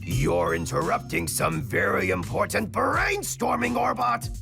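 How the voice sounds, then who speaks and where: A man voicing a bossy, scheming mad-scientist villain in a fan dub of a comic, with a gravelly, snappish delivery. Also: high-pitched, big pitch swings, unhurried, exaggerated voice. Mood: irritated. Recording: music under the dialogue.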